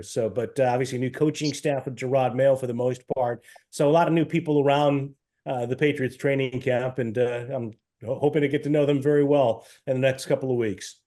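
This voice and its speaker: Man, mellow timbre, sports analyst guest, halting delivery, winding detailed answers. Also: medium pitch. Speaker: guest, team radio play-by-play announcer.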